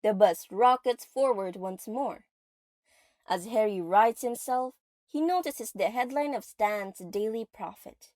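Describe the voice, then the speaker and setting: A woman's voice: on the high side, a little slow, a little mellow, no room sound, studio quality. A woman giving vivid, dramatic scripted narration for an audio drama. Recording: music under the voice.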